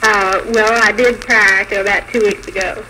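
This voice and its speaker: Woman, twenties, Southern American accent, hesitant radio guest, clearly noisy 1940s recording. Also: mid-range voice, fairly even intonation, normal speed, sharp tone. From Mississippi, United States. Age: 20s. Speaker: guest, bride. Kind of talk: polite interview answers. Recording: noisy vintage radio broadcast.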